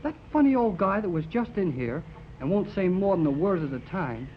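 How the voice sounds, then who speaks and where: Excitable, lively-voiced boyish radio operator. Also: male voice, high voice, moderate pace. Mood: boyish, bashful. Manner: excitable, earnest, gee-whiz. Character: eager, boyish young amateur radio operator. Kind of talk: 1930s radio serial acting. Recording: dull, noisy 1930s radio recording.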